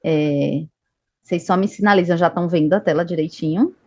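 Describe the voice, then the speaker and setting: A woman's voice: fairly low pitch, brisk, darkish tone, no room sound. Woman, Bahian accent, health presenter, dull lo-fi call audio, dry sound. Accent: Northeastern Brazilian, Bahia. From Salvador, Brazil. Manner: explanatory, frequent tag questions "né". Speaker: medical education manager presenting. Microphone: video call.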